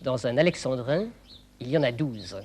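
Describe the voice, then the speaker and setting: A man presenting a children's show in a didactic, explanatory way, phrasing clearly and putting questions to viewers. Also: mid-range voice, lively intonation, moderate pace, a little mellow, a little hiss or hum.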